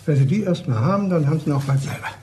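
An actor plays a talkative man relishing grisly news in a crime radio play. His voice sits a little high, chatty and opinionated, over clear background noise. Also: lively intonation, rapid-fire, natural voice. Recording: noisy radio play recording.

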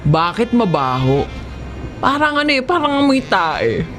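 A woman's voice: a little high, very animated, unhurried, a bit bright, soft background music. A woman with a Filipino accent voicing a casual, obliging young boyfriend in a comedic audio story. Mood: suspicious.

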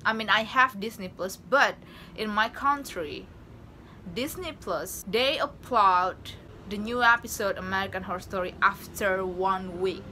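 A woman's voice: mid-range voice, a little slow, pushed and bright, a little hiss or hum, low-quality recording. A young woman hosting a reaction video from her bedroom, chatting in casual non-native English. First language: not English. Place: small bedroom with posters.

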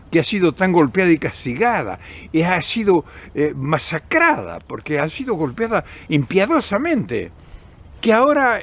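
A man's voice: a little high, highs cut off. An older man with an Argentine accent talking straight to camera outdoors, earnest and emphatic, often restarting and repeating words. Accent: Argentine Spanish. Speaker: political commentator. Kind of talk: impassioned direct-to-camera commentary. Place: outdoors in countryside by forest path. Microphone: camera at close range.

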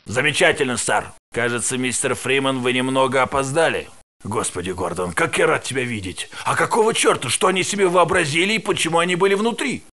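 A man doing a security guard, game character, sharp bright tone, brisk wisecracking manner. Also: mid-range voice, close to an everyday voice. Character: wary male security guard, chatty. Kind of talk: game voice acting.

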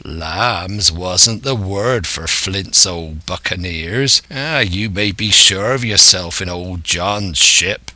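A man's voice: low voice, slow, hardly any pauses, bright, forceful tone, dry recording, full character voice. A man voicing a scheming, domineering older pirate ringleader who speaks emphatically and scornfully in a salty seafaring dialect. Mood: flattering.

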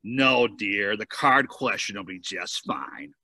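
A man playing a brisk, blunt political campaign advisor with a husky voice in an amateur cartoon table read. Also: lowish voice, pushed and bright, dry recording, full character voice. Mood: instructing. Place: home room, on a video call.

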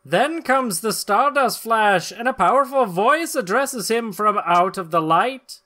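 A man narrates an old comic book for a podcast. His voice is bright and forceful. He reads theatrically and sounds amused, dropping into casual chat. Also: high-pitched, animated, measured pace. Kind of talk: dramatic comic-book reading with casual banter. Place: small room at home, video call.

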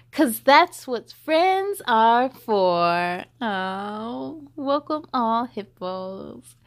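Animated high-voiced young woman reading aloud. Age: young adult. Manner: animated, drawn-out emphasis on rhymes. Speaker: children's story time host. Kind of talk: expressive picture book read-aloud with chatty asides.

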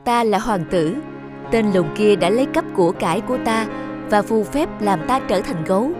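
A big talking bear from a cartoon fairy tale, acted by a woman. He is an enchanted prince, polite and earnest, firm with wrongdoers. Music plays clearly underneath. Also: mid-range voice, normal speed, lightly acted. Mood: solemn. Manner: earnest, polite, stern with thieves. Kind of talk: animated fairy-tale dialogue.

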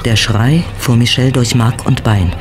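A male actor is the storyteller of a horror audio drama. He reads scripted narration in a medium-pitched voice. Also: fairly even intonation, moderate pace, over music, lightly acted. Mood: suspenseful. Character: storyteller of a lurid horror tale.

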